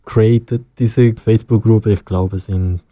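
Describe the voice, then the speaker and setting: A young man from Iran's region, speaking halting second-language German and laughing often as an interview guest. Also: on the low side, steady tone, dark tone, no room sound, clean studio sound. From Iran, Kurdish west. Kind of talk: interview conversation.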